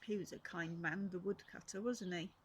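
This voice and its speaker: An older woman, a grandmother, reads a children's storybook aloud, adding gentle asides for young listeners. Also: lowish voice, fairly even intonation, moderate pace. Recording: faint background noise.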